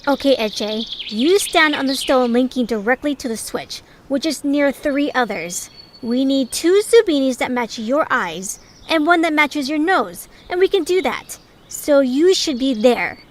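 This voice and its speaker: A woman's voice: high voice, animated, measured pace, slight background noise. A woman playing a bossy, self-assured young female leader in an audio drama, commanding and boastful.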